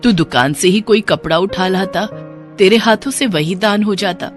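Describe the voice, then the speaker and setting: Woman playing a pious, measured older mother-in-law. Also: mid-range voice, faint music behind, close to an everyday voice. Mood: mildly reproachful. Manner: measured, earnest, moralizing. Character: pious, gentle, aging Indian mother-in-law, patiently advising.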